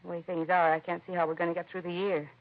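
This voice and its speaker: A woman's voice: on the low side, level tone, fast, close to an everyday voice. An actress playing an earnest, indignant woman who runs a children's home in a 1950s radio drama, plain-spoken and heartfelt. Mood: indignant, troubled. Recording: dull vintage radio recording.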